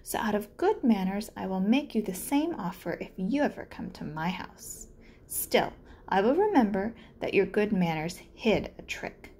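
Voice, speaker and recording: A woman's voice: mid-range voice, sing-song, normal speed, a little mellow. A woman voicing a humble, polite, hungry male turtle in a children's storybook. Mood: hurt, firm. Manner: earnest, polite. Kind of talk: children's storybook read-aloud.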